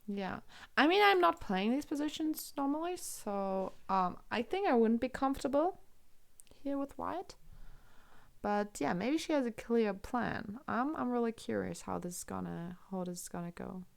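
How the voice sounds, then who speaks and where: A woman's voice: a little high, expressive, normal speed, vocal fry. A woman from Germany with a German accent, commentating chess on a live stream, thinking aloud and answering chat questions.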